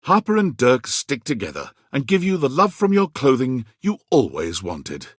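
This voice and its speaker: Husky, animated male game narrator. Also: mid-range voice, moderate pace, no echo, studio quality. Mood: dramatic storytelling. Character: male storybook-style narrator of a dating game. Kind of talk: scripted second-person story narration.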